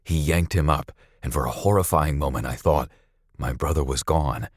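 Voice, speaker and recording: A man narrating a scripted story dramatically, with a suspenseful, deliberate delivery. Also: rumbling low, slightly rough, dry recording, studio quality.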